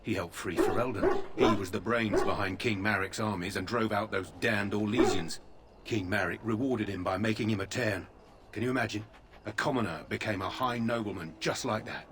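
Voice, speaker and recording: A man's voice: lowish voice, moderate pace, noisy background, natural voice. An actor playing a dutiful male soldier guarding a tent, speaking in a plain, matter-of-fact way.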